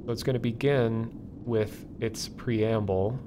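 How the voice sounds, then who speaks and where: A man hosting a livestream, explaining things casually and off the cuff in a halting, thinking-aloud way. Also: mid-range voice, steady tone, noisy background. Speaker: livestream host. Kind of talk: casual live explainer, unscripted.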